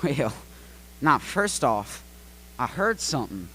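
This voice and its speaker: A folksy farmer played by an actor rambles hesitantly as a radio play witness. His middle-pitched voice swings up and down in a lively way. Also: slow pace, exaggerated voice, low-quality recording. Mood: drowsy, rambling. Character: slow, rambling, folksy male farmer, easily sidetracked.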